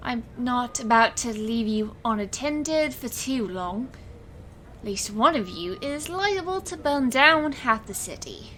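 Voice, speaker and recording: Audio drama illusionist, played by a woman: weary yet resolute, wry, slow delivery, fairly high voice. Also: lively intonation, sharp tone, a little hiss or hum. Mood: wry teasing. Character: weary, resolute female illusionist and supernatural enforcer. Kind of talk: dramatic audio fiction acting.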